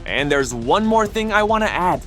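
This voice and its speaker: A man's voice: high-pitched, big pitch swings, moderate pace, a bit bright, faint music behind. A man voicing an upbeat, friendly inventor in a comic dub, chummy and slangy. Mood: showy.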